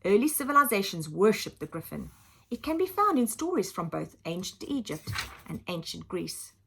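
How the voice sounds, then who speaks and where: A woman reads a children's story in a lively, highly varied voice with a touch of huskiness. She leans on dramatic words and steps aside to explain them plainly, as a teacher would for young listeners. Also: moderate pace, vocal fry, breathy edge, low-quality recording. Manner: animated, dramatic emphasis, explaining simply for children. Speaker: teacher reading aloud to children.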